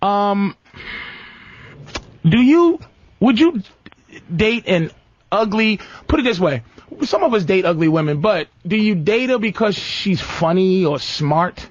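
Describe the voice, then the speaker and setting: Man, American, high-pitched, blunt, profane, interrupting talk show host, dull lo-fi sound. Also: lively intonation, slow pace, long pauses, slight background noise. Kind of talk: unscripted argumentative talk show chat. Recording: dull lo-fi radio audio.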